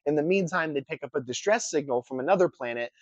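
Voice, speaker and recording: A man's voice: on the high side, quick pace, no echo, dull audio. A man with a congested, nasal voice casually reviewing books he read, chatty with lots of fillers. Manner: relaxed, chatty, filler-heavy with restarts. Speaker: book reviewer. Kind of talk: casual book review vlog.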